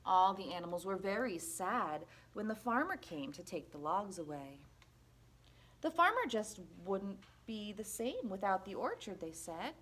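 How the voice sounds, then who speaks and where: Woman, children's storybook read-aloud, very animated pitch, husky edge, expressive, deliberate. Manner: expressive, deliberate storytelling with playful sound words. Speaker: storybook reader at a library.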